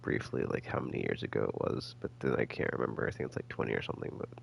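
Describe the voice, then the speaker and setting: A man giving casual, rambling game commentary as a streamer, halting and slangy as he thinks out loud. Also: a bass voice, creaky, a little hiss or hum. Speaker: gaming streamer.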